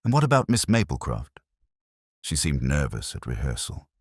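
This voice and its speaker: Man as an eager, deep-voiced police sergeant. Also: huge pitch swings, moderate pace, breathy edge, no room sound, clean studio sound, full character voice. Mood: probing.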